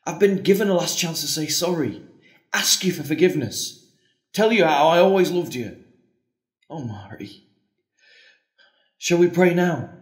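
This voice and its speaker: An actor playing a devout, tender priest in an audio drama, speaking earnestly and solemnly. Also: male voice, a little high, expressive, unhurried, pauses often. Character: John, devout, tender, doubting priest facing the end. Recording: echoey, roomy sound.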